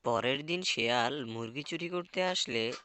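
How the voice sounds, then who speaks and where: A man's voice: medium pitch, lively intonation, unhurried, noisy background. A man reading a scripted children's folk tale as narrator.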